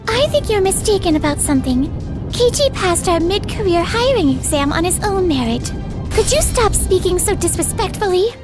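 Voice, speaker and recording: A firm, loyal young businesswoman from a manga story dub, played by a woman. Her voice is extremely high, yet formal and unyielding. Quiet music runs behind her. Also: big pitch swings, normal speed. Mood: firm, cool.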